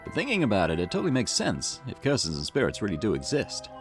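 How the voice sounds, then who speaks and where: Man playing a young subordinate detective, cheeky, enthusiastic, swooping intonation, quick talker, visual novel dialogue, music underneath. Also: on the low side, wildly swooping pitch. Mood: eager. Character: eager, cheeky junior male police detective. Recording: music behind the voice.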